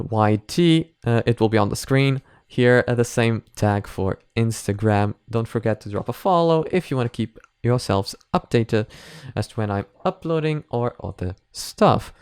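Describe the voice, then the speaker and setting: A man's voice: medium pitch, clear, resonant tone, dry recording, studio quality. A man hosting a reaction video, talking in a casual, rambling, conversational way.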